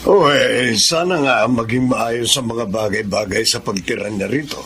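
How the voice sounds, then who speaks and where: A man playing a thoughtful, cautious household man in a radio drama, measured and musing. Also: medium pitch, a bit bright, a little hiss or hum, close to an everyday voice. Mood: concerned.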